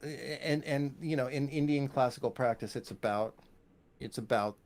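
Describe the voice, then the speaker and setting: A man's voice: medium pitch, normal speed, a little mellow. A man speaking as a composer being interviewed, casual and enthusiastic, rambling and often checking in with "right?". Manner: enthusiastic, rambling, punctuated with "you know" and "right?". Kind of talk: casual interview conversation about music.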